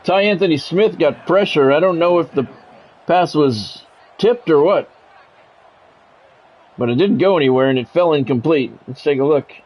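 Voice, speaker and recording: A man's voice: on the high side, normal speed, pauses often, highs cut off. An American man calling live football video game play-by-play in clipped announcer phrases, with casual asides. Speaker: play-by-play streamer.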